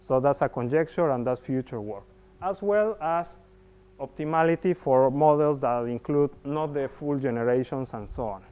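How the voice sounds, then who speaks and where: A man giving an academic seminar presentation, talking haltingly with frequent restarts and "well"s. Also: mid-range voice, clear, resonant tone, narrow, tinny audio. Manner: hesitant, many restarts and "well"s. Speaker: conference speaker. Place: seminar room.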